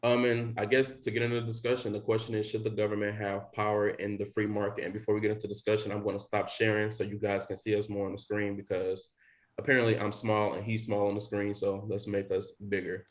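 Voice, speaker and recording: A man's voice: fairly low pitch, completely flat delivery, fast talker. A young man hosting a podcast in casual chat, rambling with lots of fillers. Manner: rambling with many likes and you knows. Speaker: podcast host.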